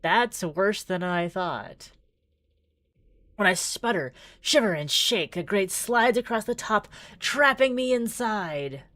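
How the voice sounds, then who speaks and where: A woman's voice: mid-range voice, expressive, slow pace, fairly bright tone, no echo. A woman streaming a visual novel, moving between casual, rambling commentary and dramatic read-aloud narration.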